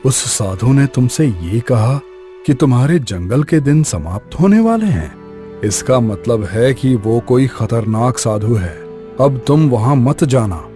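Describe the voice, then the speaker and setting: A man's voice: mid-range voice, big pitch swings, measured pace, dark tone, faint music behind. A man voicing a stern, protective father bull in a cartoon moral story. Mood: stern, worried.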